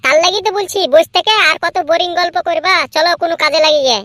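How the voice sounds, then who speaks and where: A woman's voice: extremely high pitch, fast, fairly bright tone, dry recording. A woman voicing a restless young village lad in a comedy cartoon, plaintive and nagging. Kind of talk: exaggerated comedy cartoon dialogue.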